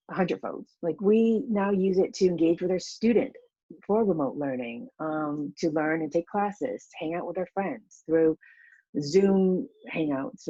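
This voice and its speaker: A woman's voice: sits low, mellow, breathy edge, no echo, muffled recording. A woman in her thirties, originally from Vietnam, giving a conference presentation over a video call in a casual way, with many fillers like "um" and "you know". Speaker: teacher educator presenting. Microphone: computer mic on a video call.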